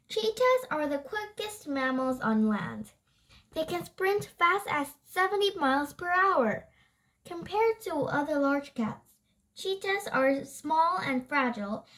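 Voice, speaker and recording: A young-sounding reader reads a nonfiction book aloud haltingly, stumbling over words and correcting themselves. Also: high voice, a bit bright.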